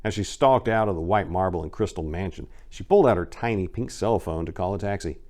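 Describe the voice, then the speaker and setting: A man's voice: low voice, brisk, darkish tone. A man narrating a crime short story as a dramatic reading, with theatrical, emphatic delivery.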